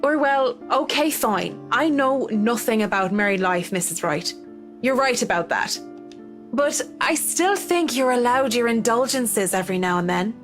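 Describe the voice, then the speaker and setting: A woman's voice: fairly high pitch, expressive, normal speed, natural voice. An actress playing an earnest, plain-spoken young woman in a visual novel game. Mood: earnest, awkward. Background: game music.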